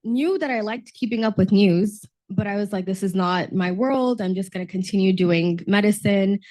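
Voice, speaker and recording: A woman's voice: medium pitch, moderate pace, a little mellow. Young woman with a cold. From Texas, United States. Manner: earnest, storytelling with frequent fillers. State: slightly sick from a cold. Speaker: junior diplomat panelist. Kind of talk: panel discussion.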